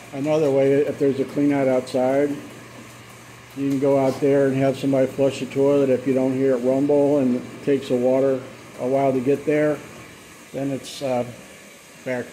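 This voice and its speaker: A man's voice: mid-range voice, moderate pace, pauses often, a little hiss or hum, poor audio. A man working as a plumber, talking casually through a drain job with lots of fillers. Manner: relaxed, explanatory, trails off with fillers. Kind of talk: casual how-to commentary while working. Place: basement utility area, indoors.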